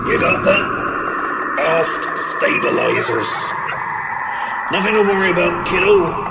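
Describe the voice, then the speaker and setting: A man's voice: high voice, gravelly, full character voice. A man playing a falsely breezy mission control operator, over-reassuring and patronising in a comic radio transmission. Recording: very noisy, crackling radio transmission.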